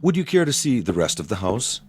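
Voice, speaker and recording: A man playing a politely eerie, probing alien in a radio drama, speaking formally and deliberately. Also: fairly low pitch, sing-song, background noise. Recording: music under the voice.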